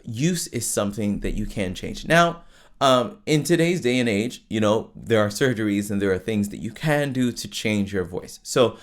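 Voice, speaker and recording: A man with a lower voice, hosting a podcast and explaining singing to listeners in a loose, chatty way, full of restarts and tag questions. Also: expressive, moderate pace. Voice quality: lower voice with falsetto access. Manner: conversational, many fillers and restarts, frequent "right?". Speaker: podcast host and vocal coach. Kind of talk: casual teaching monologue.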